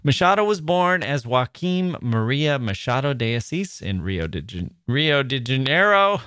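A man's voice: mid-range voice, big pitch swings, measured pace, a bit bright, clear, resonant tone, dry recording, clean studio sound. A man hosting a literature podcast, talking listeners through his notes in a chatty, wry, self-mocking way with asides. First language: English. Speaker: podcast host. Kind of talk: scripted podcast narration.

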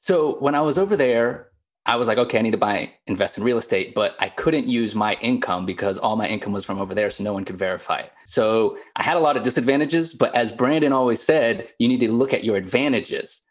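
A man's voice: mid-range voice, brisk. A man in his thirties, from Zambia, telling his story as a podcast guest in a friendly, easy-laughing way. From grew up in Zambia. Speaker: podcast guest, real estate investor. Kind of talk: casual interview chat.

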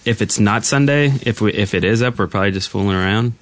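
Low-voiced, halting male podcast host. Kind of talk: casual podcast chat. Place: podcast studio.

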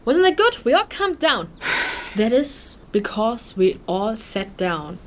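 A woman's voice: fairly high pitch, very animated, slow, a little hiss or hum. A woman playing a naive, playful young woman who rambles cheekily and hesitantly in a fantasy audio drama. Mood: chirpy, coaxing.

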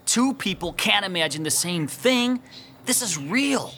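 An actor playing an agitated, insistent young husband in a radio drama. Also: male voice, high-pitched, animated, a little slow, bright, forceful tone, a little hiss or hum, full character voice. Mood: insistent, desperate.

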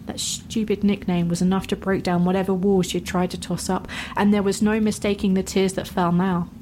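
A woman reads fantasy fiction as its narrator. Her pitch is average for a woman, and faint noise sits behind the voice. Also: flat delivery, fairly quick, dark tone, low-quality recording. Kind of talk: scripted fantasy story narration.

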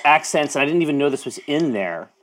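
A man hosting and running a tabletop role-playing game, playful and teasing, breaking into loud excited bursts. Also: fairly high pitch, fast talker. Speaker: game master.

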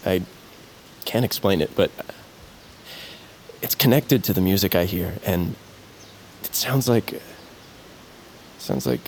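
A young man playing a gentle, dreamy bear musician and devoted grandson in an audio drama, soft-hearted and a little hesitant. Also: low-pitched, big pitch swings, takes it slowly, long pauses, a little hiss or hum, full character voice. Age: young adult. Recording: insect ambience clearly behind voice.

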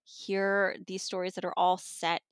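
A woman's voice: on the low side, flat delivery, measured pace, clear, resonant tone, no room sound, clean studio sound. A woman reviewing audiobooks in a casual conversation. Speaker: audiobook reviewer and podcast guest. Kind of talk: casual book discussion.

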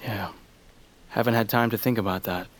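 An actor playing a steady, reassuring doctor in a naturalistic audio drama, speaking in brief, understated replies. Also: male voice, lowish voice, moderate pace, background noise, natural voice. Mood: subdued.

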